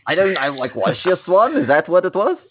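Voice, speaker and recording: A man's voice: high voice, very animated, fast talker, a little hiss or hum. A man playing a wry, laid-back factory worker in an improvised comedy scene over a tinny phone-like line. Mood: puzzled. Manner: wry, conversational.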